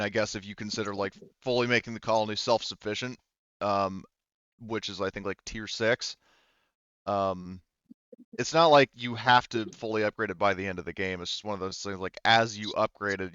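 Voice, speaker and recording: A man casually narrating and explaining a tabletop game as game master, haltingly thinking aloud. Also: fairly low pitch, a bit bright, clean tone, no room sound, muffled recording.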